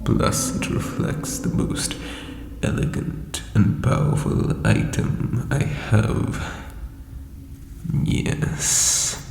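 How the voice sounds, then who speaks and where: A man playing an ancient, insecure undead lich king in a comedic audio roleplay, with a breathy croak and hesitant, pompous phrasing. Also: fairly low pitch, lively intonation, extremely slow, darkish tone, heavily acted. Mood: sheepish, explaining. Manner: hesitant, self-doubting, theatrical formal phrasing. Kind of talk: scripted comedic audio roleplay addressed to listener. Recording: reverberant echoey hall, faint background noise.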